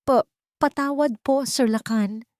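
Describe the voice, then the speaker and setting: A woman's voice: sits high, slow pace, darkish tone, no room sound, studio quality, pitched above the performer's own voice. A woman with a Filipino accent voicing a frightened housemaid, stammering and trembling, in an audio drama. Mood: frightened pleading. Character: frightened, pleading young housemaid on the run.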